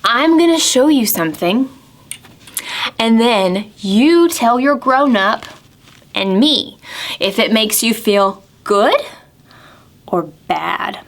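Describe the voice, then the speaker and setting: A woman presenting storytime to preschoolers, asking simple questions and stressing key words deliberately. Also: on the high side, animated. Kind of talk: storybook reading and talking to young children.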